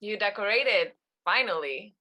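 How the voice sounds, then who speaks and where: A woman's voice: mid-range voice, expressive, a little slow, pushed and bright. A woman playing a sarcastic, exasperated grown daughter, dry and incredulous, at a screenplay table read.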